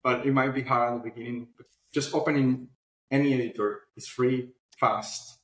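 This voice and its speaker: A man speaking as an answers interview questions in an informal, explanatory way. His voice sits at an average pitch. The sound is noticeably roomy and echoey. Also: fairly even intonation, normal speed. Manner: informal, explanatory, often adds "you know". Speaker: guest, publishing platform founder.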